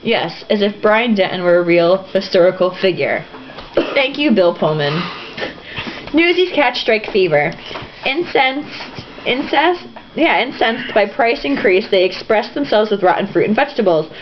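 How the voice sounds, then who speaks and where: A woman's voice: mid-range voice, very animated, measured pace. A woman reading a novel aloud in an irreverent, sarcastic, giggly way, stumbling over sentences and dropping into casual asides. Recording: dull lo-fi home recording, some noise.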